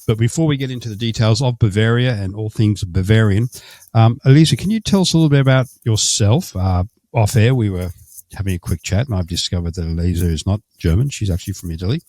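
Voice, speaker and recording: Low-voiced man hosting a podcast. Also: fast, warm tone, no echo. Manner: chatty, self-correcting questions. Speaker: podcast host. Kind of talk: casual interview.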